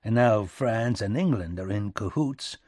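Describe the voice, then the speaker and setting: A man's voice: fairly low pitch, lively intonation, a little slow, a little mellow, no room sound, studio quality. A man voicing a weary, bitter American president with a folksy back-country accent and an emphatic, sardonic manner in an audiobook. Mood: defiant, ironic.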